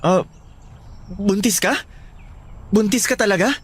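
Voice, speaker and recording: A man playing a gentle, puzzled, devoted young boyfriend in a Filipino radio drama, coaxing and hesitant. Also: sits high, measured pace, slightly rough. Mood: stunned, hesitant.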